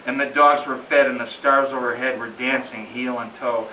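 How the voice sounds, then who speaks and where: A man's voice: medium pitch, steady tone, normal speed. Man, amateur poetry reader, rhythmic storytelling delivery, emphatic phrasing, muffled home recording with echo. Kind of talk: dramatic recitation of a narrative ballad. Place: room in a home, at a gathering. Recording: roomy distant muffled home recording, faint noise.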